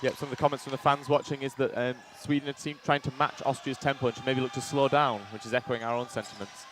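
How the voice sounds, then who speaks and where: A man with a loud voice doing colour commentary on a headset in a commentary box, chiming in with quick agreement and reading out viewer comments. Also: mid-range voice, little pitch movement. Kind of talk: live sports commentary. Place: commentary box beside an indoor court.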